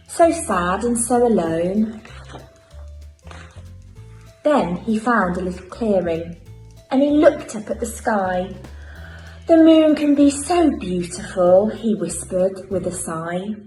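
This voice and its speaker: A woman primary school teacher reading a picture book aloud to children, clear and expressive. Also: mid-range voice, roomy sound, faint music behind, rough recording. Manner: clear, expressive, unhurried storytelling.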